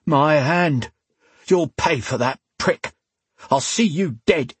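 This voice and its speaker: A man voicing a gruff, crude hired thug in an audiobook, pained and growling through clenched teeth. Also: a little high, animated, normal speed, no room sound, voice pushed up, heavily acted. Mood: pained, snarling. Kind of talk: dramatic audiobook character voice.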